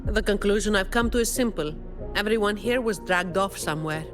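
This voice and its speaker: A woman's voice: medium pitch, fairly quick, over music, lightly acted. A woman voicing a shrewd, composed female fighter in a video game, with a cool, authoritative manner. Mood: analytical.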